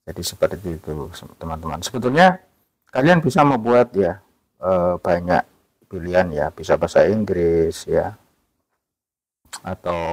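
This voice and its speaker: A man hosting a casual app tutorial, talking in a relaxed way and tagging "ya" onto most phrases. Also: low voice, no echo, poor audio. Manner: relaxed, repeats "ya" and fillers often. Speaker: tutorial host.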